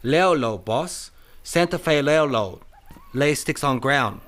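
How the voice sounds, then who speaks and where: A man acts out a shrewd detective in a radio mystery. He speaks at middle pitch, in measured, broken English that sounds humble and deferential. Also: lively intonation, a bit bright. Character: shrewd Chinese detective posing as humble ranch cook. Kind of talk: old-time detective radio drama acting.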